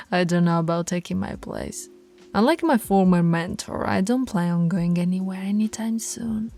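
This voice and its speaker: A woman's voice: fairly low pitch, normal speed, mellow, soft background music, close to an everyday voice. A woman voicing a dominant female werewolf pack alpha in an audio roleplay, teasing and commanding, often dropping to a whisper. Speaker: voice actress.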